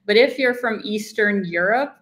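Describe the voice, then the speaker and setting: यूक्रेन में जन्मी और कनाडा में पली-बढ़ी एक युवा महिला, कनाडाई लहजे में बोलती है और इंटरव्यू में मेहमान के रूप में आराम से बात करती है, बार-बार "like" और "you know" कहते हुए।. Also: female voice, mid-range voice, normal speed, fairly bright tone. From Ukraine, raised in Canada. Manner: chatty, many "like" and "you know" fillers. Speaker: guest. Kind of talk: casual conversational interview.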